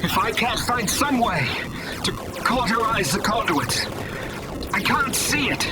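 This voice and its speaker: A wounded, self-sacrificing antihero with dark powers in an audio drama. His voice sits high and he speaks slowly, full of anguish. Loud cinematic music plays underneath. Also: sing-song, full character voice, rough recording. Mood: urgent despair.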